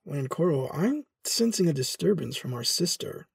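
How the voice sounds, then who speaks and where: A man playing a smug, self-assured young dark-side warrior in an audio drama, gravelly and husky, with formal, clipped speech. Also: on the high side, sing-song, moderate pace, dry recording, studio quality, heavily acted. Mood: smug, dry.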